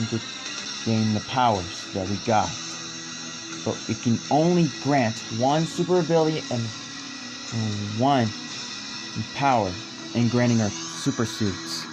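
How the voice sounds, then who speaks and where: A man acts out amateur superhero dialogue at a middle pitch. His delivery is halting and deliberate, with phrases broken into short pieces. Music plays behind his voice. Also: poor audio. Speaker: amateur voice actor. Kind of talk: performing fan-made superhero script lines.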